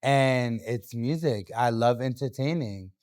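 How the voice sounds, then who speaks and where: A man's voice: medium pitch, a little slow, a little mellow. A young man from New Hampshire talking loosely as a guest on a podcast, with lots of fillers and restarts. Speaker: guest artist. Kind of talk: casual podcast interview.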